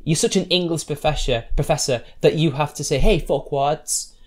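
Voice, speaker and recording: A man's voice: on the high side, moderate pace. Sarcastic man rebutting online critics. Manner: sarcastic, combative; loose, rambling delivery with restarts. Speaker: YouTube commentator. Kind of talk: reaction commentary rebutting read-out posts.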